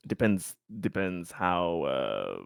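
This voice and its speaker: A man playing a tabletop game, discussing it casually in a halting, tentative way. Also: low-pitched, big pitch swings, vocal fry, clean tone, no echo, studio quality.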